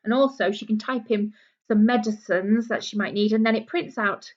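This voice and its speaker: A woman's voice: mid-range voice, moderate pace, dull audio. A British woman reading a children's book aloud like a primary teacher, explaining things simply for young listeners. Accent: British English.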